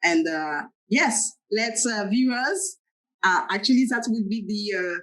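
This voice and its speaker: A woman from France hosting an interview show, talking in a halting way, often repeating words and giving short agreeing sounds. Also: mid-range voice, fairly bright tone. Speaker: show host.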